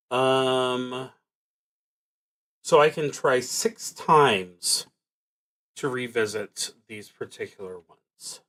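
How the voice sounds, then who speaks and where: Slow, halting man with lively pitch. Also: low-quality recording. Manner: halting, deliberating. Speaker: solo roleplay streamer. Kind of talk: thinking aloud while streaming a tabletop game.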